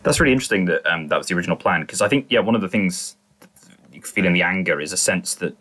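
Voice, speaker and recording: A man with a low voice discusses things analytically as a podcast panelist. His delivery is conversational and halting, often doubling back on words to find the right phrase. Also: lively intonation, bright, forceful tone. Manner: halting, repeats words while searching for phrasing.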